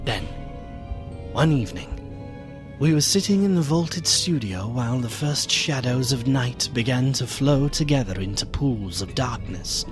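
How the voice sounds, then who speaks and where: An actor playing a courteous, concerned gentleman friend who narrates a gothic radio drama in a formal, solemn manner. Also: mid-range voice, expressive, unhurried, music in the background, close to an everyday voice. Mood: grave, foreboding.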